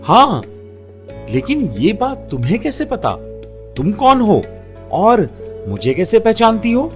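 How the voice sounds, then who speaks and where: A man's voice: sits high, wildly swooping pitch, a little slow, soft background music, heavily acted. A man playing an eager, earnest young village son in a story, speaking emphatically. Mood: puzzled.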